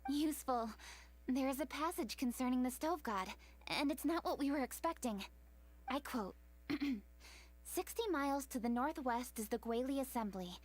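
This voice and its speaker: High-voiced, studious young noblewoman. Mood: polite. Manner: formal, measured, precise. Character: composed, studious young noblewoman, formal and courteous. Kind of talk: video game voice acting. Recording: music under the voice.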